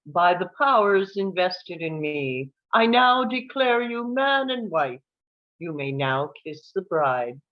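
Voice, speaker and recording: Slow-speaking female actor as wedding officiant, formal and ceremonial, slightly dull audio. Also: fairly low pitch, sing-song. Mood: solemn. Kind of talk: screenplay table read.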